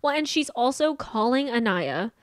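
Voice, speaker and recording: A woman's voice: on the high side, sing-song, normal speed, no room sound. A woman co-hosting a podcast in casual, opinionated chat, blunt and sweary, often mimicking people's dialogue, close on a studio microphone. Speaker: podcast co-host. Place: small indoor podcast studio. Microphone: podcast microphone on boom arm, close.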